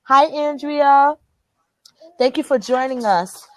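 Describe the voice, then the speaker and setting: A woman with a Liberian accent and a somewhat high voice hosts a motivational group chat. She talks earnestly, stressing and repeating her key phrases. The sound is close and dry. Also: takes it slowly, no room sound. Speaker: host.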